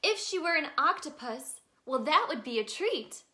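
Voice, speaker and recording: A woman reading a children's story aloud in an expressive, sing-song way for young listeners. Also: high voice, normal speed. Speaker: children's storybook reader, in a tooth fairy persona.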